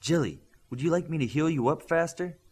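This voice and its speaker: A young heroine with healing powers voiced by a man, earnest and matter-of-fact. The audiobook delivery is husky with plenty of pitch movement. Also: animated, brisk, darkish tone. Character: caring, matter-of-fact young female superhero healer.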